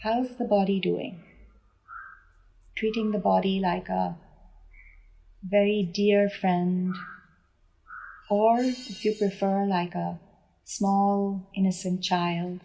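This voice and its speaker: A woman leading a guided meditation in a gentle, unhurried manner with deliberate pauses between phrases. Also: mid-range voice, steady tone, gravelly, poor audio. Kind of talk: guided body scan meditation.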